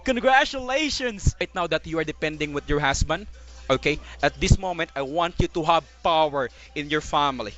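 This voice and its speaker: A man's voice: fairly high pitch, animated, brisk, a bit bright. A man working as a phone sales agent, coaxing and persuading in slightly broken English on a recorded call. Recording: muffled call recording with music behind.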